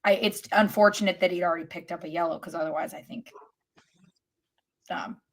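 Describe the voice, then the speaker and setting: A woman with a slightly low voice talks casually as a sports podcast co-host. Her style is that of informal fan conversation. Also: steady tone, a little fast.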